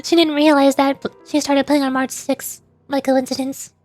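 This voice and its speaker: A high-pitched woman talking casually on a livestream, rambling from one thought to the next and replying to her viewers as she goes. Faint music plays quietly behind her voice. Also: level tone, moderate pace. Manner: rambling, chatty, answers viewers' questions. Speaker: streamer.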